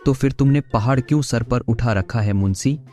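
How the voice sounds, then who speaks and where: A man's voice: medium pitch, normal speed, mellow, natural voice. A man voicing a stingy, shrewd rich village boss in a cartoon, with a condescending manner. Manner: condescending, sarcastic. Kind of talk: Hindi cartoon voice acting. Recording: music behind the voice.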